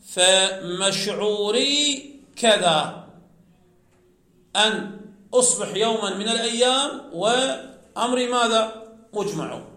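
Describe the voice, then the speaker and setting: Male teacher with a high voice lecturing on grammar and quizzing the class, recorded in an echoey room. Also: sharp tone. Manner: slow, explanatory, asks the class questions then answers them.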